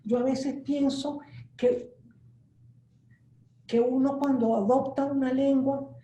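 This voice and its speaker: A woman's voice: high-pitched, fairly even intonation, takes it slowly, mellow. An older woman from Italy, a writer on an online panel, speaking thoughtfully and fluently over a video call. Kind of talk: reflective discussion. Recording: dull echoey call audio with faint noise.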